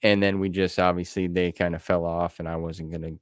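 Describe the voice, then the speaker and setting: A man's voice: low voice, level tone, fairly quick, clean tone, no echo, clean studio sound. A middle-aged man hosting a podcast interview in a casual, chatty style. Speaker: podcast host. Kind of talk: casual chat.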